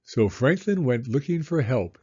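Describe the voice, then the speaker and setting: A man narrating a children's storybook aloud in an expressive, measured storytelling manner. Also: mid-range voice, slightly rough, dull audio.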